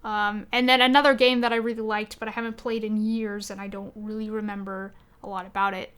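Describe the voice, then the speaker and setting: A woman's voice: medium pitch, little pitch movement, brisk, a bit bright, clear, resonant tone. A young woman hosting a casual gaming podcast, chatting in a hesitant, giggly way. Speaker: podcast host.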